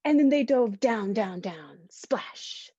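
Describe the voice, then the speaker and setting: A woman reading a storybook aloud to young children, expressive and simply phrased, stopping to ask them questions. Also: on the high side, slow pace, husky, no room sound. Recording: dull, lo-fi sound.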